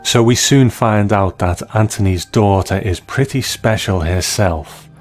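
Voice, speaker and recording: Man, deep voice, scripted review narration, soft music behind.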